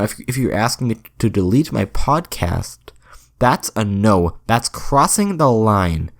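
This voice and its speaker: A young man hosting a solo podcast, rambling through deadpan, self-deprecating jokes with frequent restarts. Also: fairly low pitch. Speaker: podcast host.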